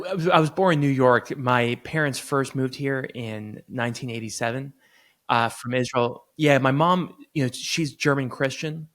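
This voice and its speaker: Easygoing man in his thirties, medium pitch. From United States, grew up in Florida. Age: 30s. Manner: relaxed, easygoing, laughs readily. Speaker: surgeon, podcast guest. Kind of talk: casual interview chat.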